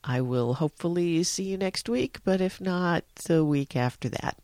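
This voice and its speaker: A middle-aged American woman hosting a spoken devotional, telling it in a measured, reflective way. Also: on the low side, a little mellow, dry recording, clean studio sound. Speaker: devotional podcast host, church organization director.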